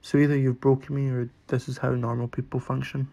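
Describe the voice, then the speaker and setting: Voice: medium pitch, flat delivery, a little fast, vocal fry, mellow, lightly acted. An actor playing an awkward, anxious, self-deprecating young introverted man in short-story dialogue, hesitant and dry. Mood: awkward, sincere.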